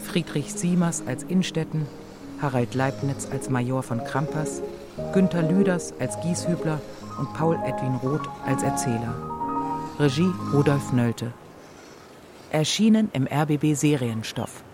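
A man's voice: a little high, a little slow. A man reading scripted credits as an announcer for a radio drama production. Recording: loud music behind the voice. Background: loud music.

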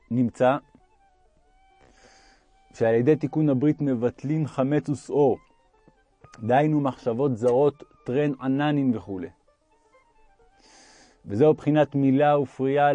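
A man's voice: mid-range voice, dark tone, dry recording, dull audio. A man reading a religious text aloud as an audiobook narrator.

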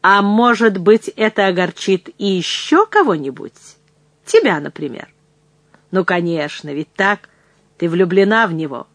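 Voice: mid-range voice, animated, measured pace, darkish tone, dry recording. A narrator voicing a jealous, passionate male suitor, wheedling and threatening by turns in an audiobook. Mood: mocking, furious. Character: jealous, ardent suitor, menacing then pleading man. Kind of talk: dramatised audiobook reading.

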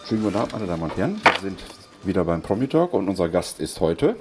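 A man's voice: low voice, sing-song, quick pace, loud music behind the voice. A middle-aged man hosting a radio interview, asking questions formally and politely, with hesitant fillers. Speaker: radio host.

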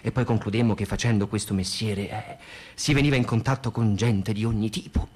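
A man reading a letter aloud for radio theatre in an expressive, theatrical way. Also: lowish voice, fairly quick, breathy edge. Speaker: radio reader.

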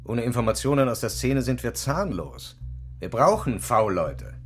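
An actor playing a pragmatic intelligence officer in a radio drama, measured, formal and evasive. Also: male voice, mid-range voice, big pitch swings, soft background music. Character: smooth-talking, pragmatic male intelligence officer handling informants. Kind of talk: naturalistic radio drama dialogue.

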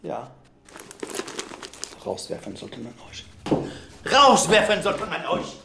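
An actress playing a bitter, hostile older woman in a radio drama, with a creaky voice. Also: sits low, very animated, slow pace, noisy background, heavily acted. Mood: bitter, outraged. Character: bitter older woman, garish club regular, French-speaking, hostile.

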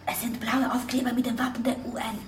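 An actress playing a bold, excitable woman photographer in a radio drama, urgent and breathy, slipping English into her German. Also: a little high, fast, distant mic, noisy background, natural voice. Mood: focused. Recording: echoey room, off the microphone.

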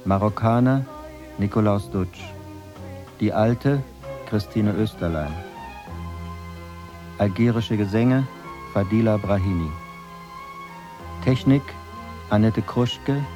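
A man reading scripted radio drama credits as an announcer, formal and deliberate. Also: fairly low pitch. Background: music.

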